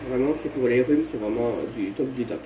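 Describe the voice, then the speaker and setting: A man vlogging at home while he has a cold, showing his remedies in a halting, rambling way that repeats itself. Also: medium pitch, mellow. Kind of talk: casual home how-to vlog. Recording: echoey room with faint background noise.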